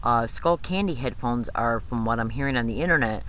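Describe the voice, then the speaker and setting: A man with a mid-pitched, bright and forceful voice delivers an explanatory monologue. He is insistent and repetitive, pressing each point and checking that it lands. Faint noise sits behind his voice in a plain home-made recording. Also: brisk, rough recording. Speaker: video host.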